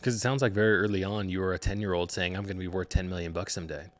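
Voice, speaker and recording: A man's voice: sits low, steady tone, rapid-fire. A man hosting a podcast, posing long, reflective interview questions in an earnest, motivational way. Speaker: podcast host.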